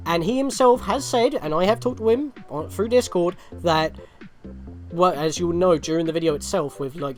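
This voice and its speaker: Male game video host, animated pitch, chatty and rambling delivery, music underneath. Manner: rambling, self-correcting. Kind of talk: chatty gameplay commentary.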